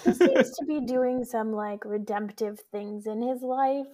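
A woman's voice: a little high, unhurried, mellow. A woman from Colorado co-hosting a casual podcast in a laid-back way, often answering in short agreements. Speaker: podcast co-host.

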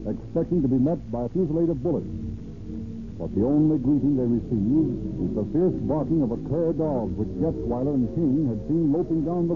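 A tinny old radio recording carries an American male narrator with faint music. His mid-pitched voice is formal and measured, telling a dramatic scripted story.

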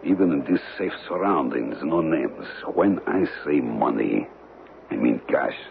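Shady antiquities smuggler, very deep swooping voice, worldly and deliberate, old radio drama. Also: male voice, lively intonation, creaky, heavily acted. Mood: wary, suave. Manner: deliberate, worldly, formal phrasing with dramatic pauses. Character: shady, calculating male smuggler of stolen antiquities. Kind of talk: old-time radio mystery acting. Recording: muffled, noisy old radio broadcast recording.

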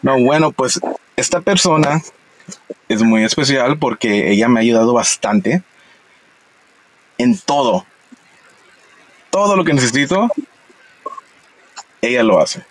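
A man's voice: mid-range voice, animated, takes it slowly, long pauses, slight background noise, poor audio. A man hosting a casual interview, speaking hesitantly and self-conscious about his Spanish. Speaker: podcast host.

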